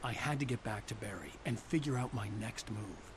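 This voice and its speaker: An actor playing a haunted writer who narrates his own ordeal in a grave, measured, literary way for a video game. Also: fairly low pitch, expressive, natural voice. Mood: brooding, tense. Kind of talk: scripted first-person game narration. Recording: noisy ambience under narration.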